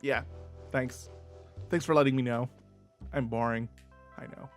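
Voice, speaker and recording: A man's voice: medium pitch, fairly even intonation, a little slow, soft background music. A man with a slight lisp hosting a rambling, self-mocking rant about viewer comments, full of dry sarcasm. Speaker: YouTube host.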